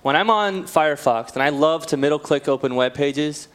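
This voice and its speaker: A man's voice: a little high, moderate pace, a bit bright, clear, resonant tone. A man co-hosting a gaming web show, griping bluntly with casual swearing.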